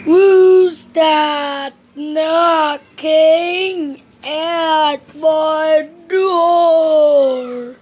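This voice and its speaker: A woman voicing a slow, sleepy, reluctant male storybook character who drags out every word. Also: high voice, steady tone, darkish tone. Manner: drawn-out, dragging words.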